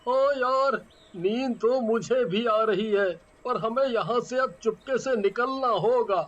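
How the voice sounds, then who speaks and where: A man voicing an excitable young traveller in a cartoon story, with exclamatory delivery. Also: very high-pitched, takes it slowly, muffled recording. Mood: hungry, drowsy. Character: excitable young male friends on a night journey.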